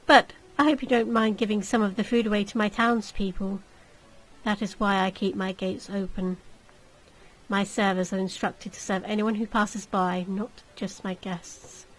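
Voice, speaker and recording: A woman voicing a suave young marquis in a gothic visual novel, speaking with measured, teasing courtesy. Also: mid-range voice, fairly even intonation, natural voice, poor audio. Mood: mock courtesy.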